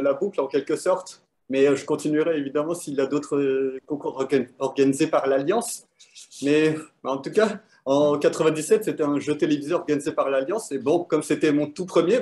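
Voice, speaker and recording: A man's voice: fairly high pitch, a little fast, darkish tone. Hesitant man answering a quiz host. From Belgium. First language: French. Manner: hesitant, many fillers, thoughtful. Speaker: quiz winner, guest. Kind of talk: casual interview answers over an online call.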